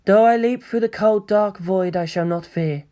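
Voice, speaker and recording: An audiobook narrator voicing a calm, devout young female pilot with a solemn, measured delivery. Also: medium pitch, natural voice.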